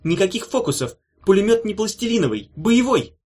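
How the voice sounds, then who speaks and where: Man playing a petty demon guard, pompous, sour, raised pitch, husky, audiobook. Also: big pitch swings, a little fast, a little mellow.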